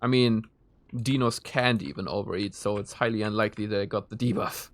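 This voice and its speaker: A man's voice: lowish voice, fairly even intonation, fairly quick. A man streaming a video game, thinking aloud in a rambling way and chatting with his viewers. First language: German. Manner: answers chat messages as he plays. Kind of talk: casual live-stream chat while playing.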